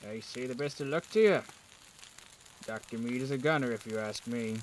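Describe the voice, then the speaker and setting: A man's voice: medium pitch, moderate pace, natural voice, rough recording. A man playing a blunt, sardonic village farmer with a drawling delivery in a period audio drama. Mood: wry, gloomy.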